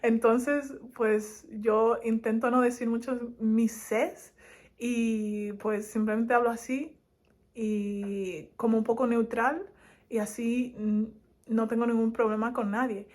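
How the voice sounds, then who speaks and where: A young woman with a Madrid Spanish accent talks casually to her camera, animated and laughing between thoughts. Her voice sits a touch high, and her delivery stays loose and chatty. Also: takes it slowly. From Spain. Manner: animated and laughing, lively asides. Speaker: vlogger.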